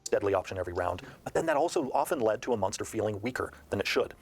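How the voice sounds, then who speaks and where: Fast-talking man explaining in detail. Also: lowish voice, lively intonation, gravelly, husky, faint music behind. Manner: articulate, long explanatory sentences. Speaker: game designer. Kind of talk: promotional discussion, explaining.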